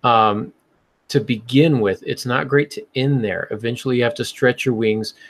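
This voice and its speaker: A man's voice: mid-range voice, steady tone, moderate pace. A man hosting a podcast, talking casually in a chatty, explanatory way. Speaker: podcast host.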